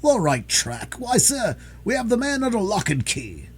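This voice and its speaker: A man voicing a pompous, boastful, excitable police detective in a storybook reading. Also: sits high, moderate pace, breathy edge, pitched above the performer's own voice, heavily acted. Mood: proud, excited.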